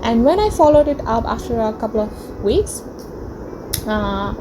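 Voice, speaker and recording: A woman's voice: a little high, lively intonation, moderate pace. Young female vlogger, non-native English, easygoing and chatty with fillers, noisy home recording. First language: not English. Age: young adult. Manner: chatty, many ums and uhs. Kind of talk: casual personal storytelling to camera. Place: small indoor room.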